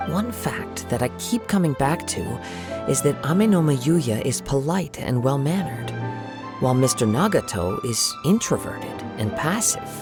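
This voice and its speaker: An actor voicing a calm, thoughtful young wandering swordsman in a video game, speaking in measured, formal phrases. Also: medium pitch. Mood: pensive, composed. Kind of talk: video game voice acting, scripted dialogue. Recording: game music behind the voice.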